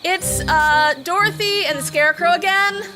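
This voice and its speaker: A woman's voice: very high voice, moderate pace, loud music behind the voice. A woman playing a plucky, sarcastic young farm girl in an audio drama, wry, blunt and exasperated. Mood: wry pleading.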